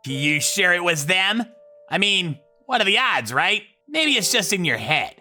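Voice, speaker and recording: Man as dismissive, higher-pitched cop. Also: big pitch swings, a little slow, bright, forceful tone, heavily acted. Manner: casual, patronizing. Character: dismissive, doubtful male police officer. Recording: music behind the voice.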